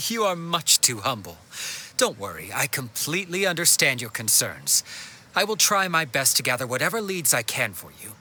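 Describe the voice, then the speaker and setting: A man's voice: medium pitch, normal speed, slight background noise, exaggerated voice. A man voicing a courteous, obliging townsman in a video game, talking politely and expressively. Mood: admiring, helpful. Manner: polite, expressive, conversational, flattering. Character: obliging, well-informed, courteous man of the town. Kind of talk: scripted video game dialogue. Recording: game music behind the voice. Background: background music.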